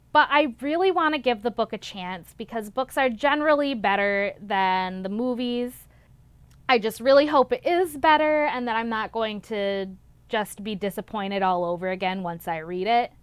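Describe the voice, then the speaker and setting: A woman's voice: on the high side, a bit bright, clear, resonant tone, dry recording. A young woman chatting casually and enthusiastically as a vlog host, gushing and repeating words for emphasis. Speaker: book reviewer, vlog host. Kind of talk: casual book vlog chat.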